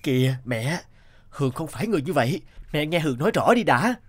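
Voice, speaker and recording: Earnest young working man in a cartoon, gentle, loud music behind him. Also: a little high, big pitch swings, fast talker. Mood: upset, hurt. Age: young adult. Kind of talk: Vietnamese cartoon dialogue.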